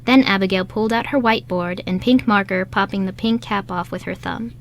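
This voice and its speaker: Woman narrator reading a radio play script, medium pitched voice. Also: brisk, slight background noise.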